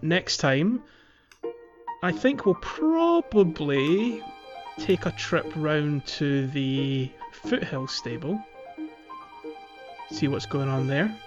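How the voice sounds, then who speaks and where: A man with a fairly high voice, chatting casually as a gaming podcast co-host. The recording is a little dull and lo-fi, with faint music underneath. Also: big pitch swings, drawn-out words, pauses often. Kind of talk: casual video game playthrough chat. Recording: slightly dull sound, background music.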